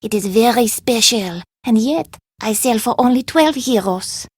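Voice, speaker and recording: A proud woman selling curios at a market in a video game. Her voice is fairly high and recorded dry. She haggles stubbornly in formal, foreign-sounding phrases. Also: animated, a little slow, slightly rough, dry recording. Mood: proud, insistent. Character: proud, stubborn female street vendor of curios. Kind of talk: video game character dialogue.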